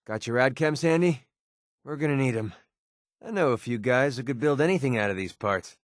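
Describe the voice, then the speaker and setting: An actor playing a wisecracking, guarded young mercenary with an American accent and a colloquial, sarcastic manner in a video game. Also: male voice, medium pitch, fairly quick, no echo, studio quality, close to an everyday voice. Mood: wry, sardonic. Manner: sarcastic, colloquial, hesitant when sincere. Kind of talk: scripted game dialogue.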